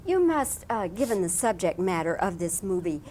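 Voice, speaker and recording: A woman's voice: sing-song, moderate pace, a little mellow. A woman working as an entertainment interviewer, asking questions in a conversational television style. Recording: rumbly, noisy archival interview tape.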